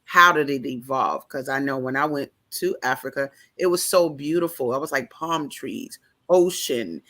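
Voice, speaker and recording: A woman host talks over a video call. Her voice is bright and forceful. She rambles through long, lively questions, circling back on herself as she goes. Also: extremely low pitch, normal speed. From New York. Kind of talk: casual interview question.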